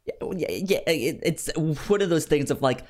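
Guy hosting a casual movie podcast, pitch jumps around a lot, trips over his words and repeats them. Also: lively intonation. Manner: stammering, halting, repeating words. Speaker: podcast host. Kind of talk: casual podcast chat about a film.

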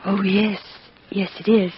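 A woman's voice: medium pitch, little pitch movement, measured pace, husky, no room sound, muffled recording. An actress playing a restrained, troubled married woman in her thirties in an old-time radio drama. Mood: subdued, guarded. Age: 30s.

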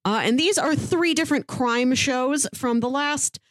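A woman's voice: high voice, unhurried, no room sound, clean studio sound. A woman co-hosting a podcast in casual banter, chatty and wry, with a playful way of talking. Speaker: podcast co-host.

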